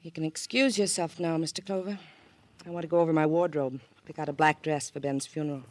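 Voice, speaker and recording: An actress playing a cool, flippant widow in a 1950 radio crime drama, sardonic and dismissive. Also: female voice, low-pitched, steady tone, fast talker, darkish tone, natural voice. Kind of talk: 1950 radio noir acting.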